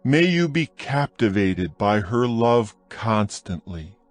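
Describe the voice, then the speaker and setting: A man reading scripture aloud in a slow, measured, solemn dramatized narration. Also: fairly low pitch, no echo, soft background music. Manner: slow, measured, solemn recitation with lively rises on appeals. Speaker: Bible audio narrator.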